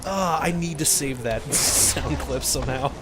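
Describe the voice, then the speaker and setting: A man's voice: medium pitch, measured pace, noisy background. A young man bantering casually with crude, irreverent humour as co-commentator on a gaming video.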